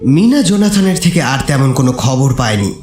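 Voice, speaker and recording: Male narrator for dramatic horror audio story; animated intonation, echoey sound over music. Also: medium pitch, fairly quick.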